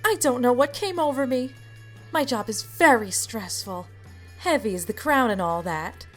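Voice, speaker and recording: A woman's voice: on the high side, animated, normal speed, soft background music. A woman voicing a sugary, hot-tempered fairy queen. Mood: condescending. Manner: sweetly condescending, then shrieking. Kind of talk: fan dub of a comic.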